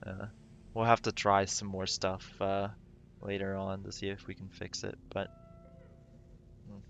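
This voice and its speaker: Raspy-voiced man thinking aloud. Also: low-pitched, monotone, unhurried, fairly bright tone, dull audio, a little hiss or hum. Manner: rambling, thinking aloud with many fillers. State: voice getting raspy. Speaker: streamer. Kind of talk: casual gameplay livestream commentary.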